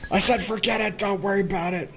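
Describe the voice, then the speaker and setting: A man's voice: high-pitched, fairly even intonation, fast talker, vocal fry, a bit bright, soft background music, voice pushed up. A man voicing a brash, defiant young tomboy girl in a visual novel game, blunt and emphatic. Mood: flustered.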